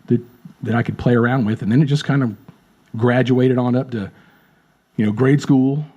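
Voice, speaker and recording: A man in his late fifties with a mellow, slightly husky voice. He talks about drumming in a friendly, rambling way and often repeats a word before going on. The recording sounds a little dull and lo-fi. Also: mid-range voice, fairly even intonation, moderate pace. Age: 50s. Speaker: drummer, guest. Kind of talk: casual interview chat.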